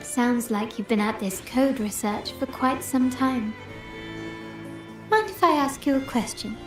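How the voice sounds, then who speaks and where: Echoey w/ soft music, woman doing a composed young noble lady sleuth, formal, shrewd, game voice. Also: fairly high pitch, measured pace, close to an everyday voice. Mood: composed curiosity. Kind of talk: video game voice acting. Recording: roomy sound with light music.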